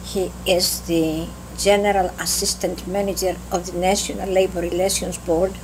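An elderly woman from Greece with a Greek accent, slowly recalling her life in an oral history interview, with halting restarts. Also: on the low side, flat delivery. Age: older. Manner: slow, halting recollection with restarts. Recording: noisy tape with hum.

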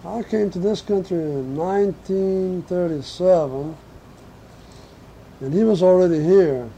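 Older man with a high, very dark voice. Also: slight background noise, poor audio. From Portugal. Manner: halting, reflective, slow recall. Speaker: interviewee. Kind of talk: oral history reminiscence.

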